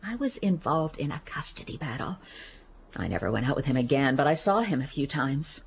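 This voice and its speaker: An actress playing a guarded middle-aged American woman who gives halting, reluctant testimony in a naturalistic radio drama. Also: low-pitched, sing-song, creaky, slight background noise, heavily acted. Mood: grim, matter-of-fact. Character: guarded, weary American woman witness recalling an assault.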